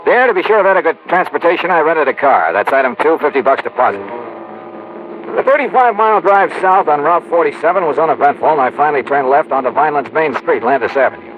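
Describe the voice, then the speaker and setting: A breezy insurance investigator, played by a man in vintage radio drama style. He is wry and clipped, with a lively, fairly high voice on a dull, hissy old recording. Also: fast, close to an everyday voice. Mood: brisk, wry. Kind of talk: 1950s radio detective drama, dialogue and first-person narration.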